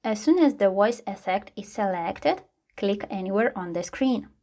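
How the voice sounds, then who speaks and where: A woman hosting a tutorial, reading scripted step-by-step how-to narration at a medium pitch. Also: expressive, moderate pace, dark tone. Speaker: tutorial host.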